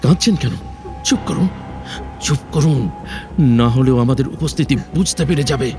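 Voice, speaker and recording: A man's voice: a little high, normal speed, lightly acted. Urgent, watchful fairy-tale prince played by a man. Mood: tense warning. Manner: urgent, cautious, dramatic commands. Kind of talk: Bengali fairy-tale audio drama acting.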